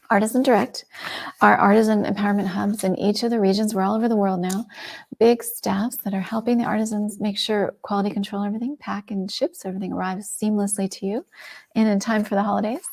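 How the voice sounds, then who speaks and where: Middle-aged woman hosting a shopping stream, chatty and excited, lots of vocal fry. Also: medium pitch. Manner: chatty, enthusiastic, drops into soft asides. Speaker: livestream shopping host. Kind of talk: casual product presentation.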